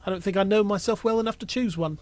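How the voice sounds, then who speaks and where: A man's voice: high voice, quick pace, a little mellow, no echo, voice pushed up, natural voice. A man voicing an earnest young man in an audio story, a little defiant and unsure of himself. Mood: earnest, modest. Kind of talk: audio story character acting.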